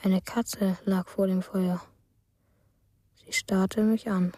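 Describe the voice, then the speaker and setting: Hushed dreamy female narrator for a radio drama, slow, a bit husky, mellow. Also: mid-range voice, flat delivery, dry recording. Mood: hushed, dreamlike. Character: dreamlike first-person narrator recounting a night in the forest.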